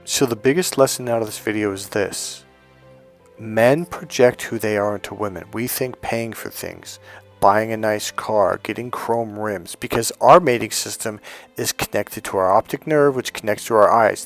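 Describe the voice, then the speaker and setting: Blunt, swearing male dating coach. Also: medium pitch. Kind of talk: casual profane rant to camera.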